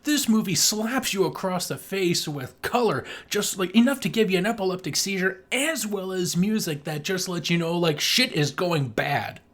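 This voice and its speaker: A man's voice: high voice, expressive, moderate pace, hardly any pauses, fairly bright tone. A man co-hosting a casual film review podcast, talking in a gushing, emphatic, sweary way. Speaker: podcast co-host.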